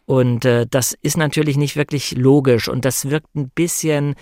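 A man's voice: medium pitch, steady tone, moderate pace, a little mellow, no room sound, studio quality. A man talking reflectively and conversationally about film and novels, explaining his analysis as a guest in a discussion.

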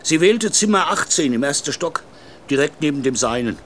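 A man's voice: on the high side, animated, normal speed, a bit bright, a little hiss or hum. An actor playing an observant, slightly nosy man at a country inn, narrating what he notices in the first person. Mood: observant, dry.

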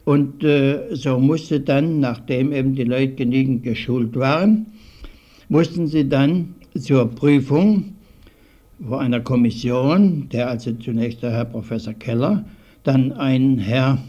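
An older man giving recollections in an interview, speaking haltingly and deliberately with old-fashioned formal phrasing. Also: mid-range voice, mellow, muffled recording. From southwestern Germany. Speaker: interviewee, early radio hobbyist. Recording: 1950s archival radio recording.

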